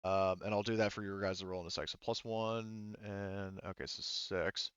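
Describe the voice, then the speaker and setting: A man running a tabletop game casually as game master, thinking aloud haltingly as he works through rules and rolls. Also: low voice, flat delivery, a bit bright, clean tone, dry recording, dull audio. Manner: halting, thinking aloud while rolling dice.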